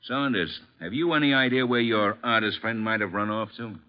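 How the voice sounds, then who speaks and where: A man's voice: lowish voice, moderate pace, lightly acted. An American man playing a brusque, impatient police inspector in 1950s radio drama style. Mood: brusque, questioning. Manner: brusque, bossy, snapping. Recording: dull old radio broadcast.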